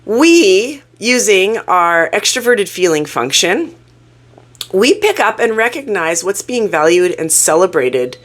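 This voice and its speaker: A woman hosting her own channel, sounding croaky from a cold as she talks through a topic in a casual, earnest, self-reflective way. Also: mid-range voice, big pitch swings, slow. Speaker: YouTube channel host. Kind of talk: casual talking-head explainer.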